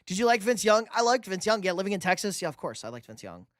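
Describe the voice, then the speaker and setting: A man's voice: high voice, big pitch swings, quick pace, fairly bright tone, no echo. Young guy hosting a sports stream, dry sound. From New York. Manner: loose, profane, jokey sports banter. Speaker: livestream host reading chat. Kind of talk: casual live stream chat.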